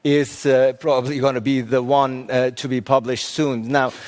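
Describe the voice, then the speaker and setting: A man moderating a stage interview, asking measured questions with frequent fillers. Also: mid-range voice, little pitch movement, a little mellow. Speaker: forum moderator.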